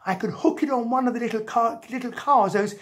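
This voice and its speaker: A woman's voice: fairly high pitch, a little fast, pitched above the performer's own voice, lightly acted. A woman voicing a determined little boy in a children's story, polite, earnest and pleading.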